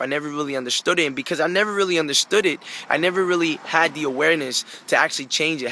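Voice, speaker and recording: A man's voice: fairly high pitch, creaky, sharp tone. A man from Peru, a native Spanish speaker, giving an earnest motivational talk to camera, repeating phrases and addressing listeners directly. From Peru, moved abroad at age five.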